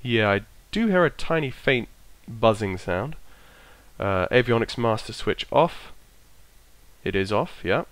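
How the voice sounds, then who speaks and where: A man with a bit of a cold, his voice croaky, casually talking himself through an aircraft checklist and trailing off as he goes. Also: mid-range voice, animated, measured pace, fairly bright tone, no room sound. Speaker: flight sim streamer. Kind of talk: casual narrated walkthrough.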